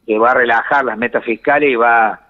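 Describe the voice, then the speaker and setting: An Argentine man giving measured economic explanations in a phone interview, pausing to search for words. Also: mid-range voice, steady tone. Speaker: economics commentator, phone guest. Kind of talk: explanatory radio-style interview answers. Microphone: telephone. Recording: reverberant phone call feed.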